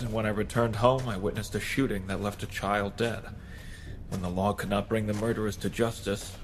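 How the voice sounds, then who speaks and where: An actor plays a solemn male vigilante and mentor in a radio play. The voice is low and measured, with a principled gravity, over faint ambient noise. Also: close to an everyday voice. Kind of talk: audio drama acting.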